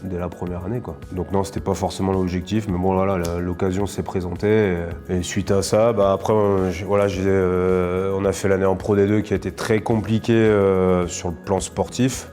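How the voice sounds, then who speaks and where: A man's voice: low-pitched, level tone, normal speed, few pauses, a little mellow, faint music behind. A man in his thirties from northern France, a professional rugby player answering interview questions casually, with many fillers and restarts.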